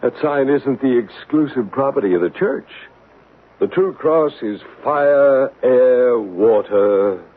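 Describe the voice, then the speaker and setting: A man playing a gentle, assured male psychic medium in a 1970s radio drama, explaining patiently. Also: mid-range voice, expressive, slow pace. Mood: reassuring. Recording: narrow radio broadcast with faint hiss.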